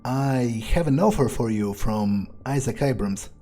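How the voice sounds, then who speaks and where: Male gamer in character: soft dark tone, slow measured delivery, thinking out loud as he plays. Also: medium pitch. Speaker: gamer role-playing as his character. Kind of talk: in-character gameplay narration, thinking aloud. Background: faint music.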